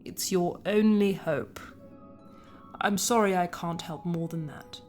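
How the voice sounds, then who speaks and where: Slow, grave alien woman healer. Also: lowish voice, darkish tone, faint music behind. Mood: solemn, regretful. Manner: solemn, deliberate. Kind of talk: science fiction audio drama acting.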